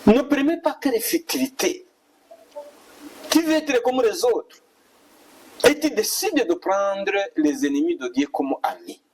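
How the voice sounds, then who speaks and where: A man's voice: high voice, very animated, a little slow, long pauses, a little mellow, distant mic, a little hiss or hum. A male preacher, delivering an admonishing, repetitive exhortation as a sermon. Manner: admonishing, repetitive rhetorical questions.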